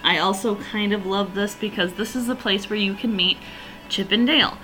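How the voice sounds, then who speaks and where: A woman hosting her own podcast in a chatty, enthusiastic way, her voice slightly raspy and hoarse from allergies. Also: medium pitch, moderate pace, bright, forceful tone, a little hiss or hum, poor audio. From northern region. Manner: chatty, enthusiastic, rambling with fillers. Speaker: podcast host. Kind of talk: casual solo podcast review.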